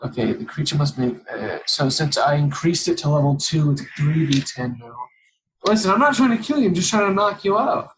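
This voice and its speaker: A man's voice: fairly high pitch, expressive, brisk, noisy background. A man chatting casually as a player in a tabletop game, blunt and slangy, with some swearing.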